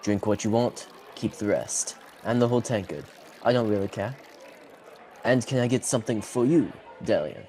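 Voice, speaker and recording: A man's voice: on the low side, expressive, normal speed, warm tone. A teenage male actor playing a friendly, protective tavern keeper in a student fantasy radio drama, with music behind. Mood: hospitable. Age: teens. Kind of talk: student radio theatre acting.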